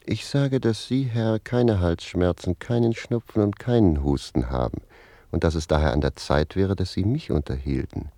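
An actor playing a cheeky, argumentative manservant with a rough voice in a German radio play. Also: male voice, sits low, normal speed, dark tone, no echo. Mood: cheeky, contrary. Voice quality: gravelly, rough. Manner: pert, argumentative. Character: hoarse, cheeky manservant talking back to his master.

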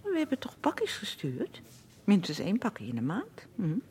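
A middle-aged mother in a radio drama, a woman who chatters and scolds defensively. Her pitch swings widely around an average register. Faint noise sits on the recording. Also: wildly swooping pitch, normal speed, mellow. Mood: evasive, placating. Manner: chattering, scolding, self-justifying. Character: defensive, nagging, uncomprehending mother of a troubled son. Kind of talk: Dutch radio play acting.

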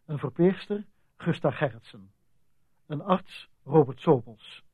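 Slow, high-pitched male radio announcer. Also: lively intonation, breathy edge, no room sound. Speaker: announcer reading radio drama credits. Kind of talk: formal scripted announcement. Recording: dry, muffled lo-fi old radio recording.

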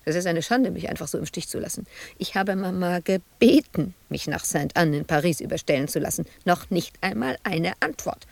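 A woman's voice: lowish voice, animated, normal speed, creaky, no echo. An actress playing a bitter, wounded woman sculptor shut away in an asylum, in a reproachful, volatile radio drama monologue. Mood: reproachful, hurt. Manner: reproachful, volatile, dropping at times to hushed murmurs.

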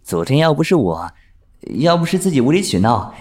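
A man's voice: on the high side, big pitch swings, brisk, darkish tone. A man playing a flustered, easily smitten young homebody in an audio drama, speaking hesitantly and stammering. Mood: apologetic, shy. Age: young adult. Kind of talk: Chinese audio drama acting with inner monologue. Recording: music under the voice. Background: music.

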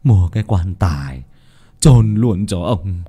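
A male storyteller plays an ailing old patriarch of a village household in a horror tale. He is crude, bossy and irascible, groaning and scolding in drawn-out phrases that swing widely in pitch. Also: expressive, warm tone, breathy edge, exaggerated voice. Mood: bitter, scolding.

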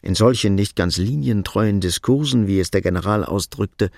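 A man's voice: fairly low pitch, normal speed, vocal fry, darkish tone, dry recording, studio quality. A man reading scripted literary narration for a radio drama.

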